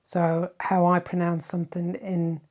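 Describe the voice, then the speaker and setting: A middle-aged woman with a softened Irish accent, chatting casually as she answers viewer questions. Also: on the low side, monotone, a little slow. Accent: Irish, softened by decades in southern England. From Ireland. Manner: chatty and warm-hearted, many "um"s and tag questions. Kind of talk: casual Q&A chat.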